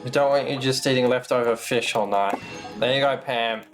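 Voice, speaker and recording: A man's voice: mid-range voice, normal speed, soft background music. A man giving casual gameplay commentary as a streamer, chatting back at the game's characters. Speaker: game streamer.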